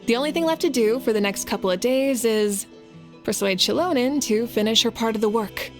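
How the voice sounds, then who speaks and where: Actress as confident young warrior woman in a game, kinda high voice, playful, faint music. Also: fairly quick, lightly acted. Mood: relaxed. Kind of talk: video game voice acting.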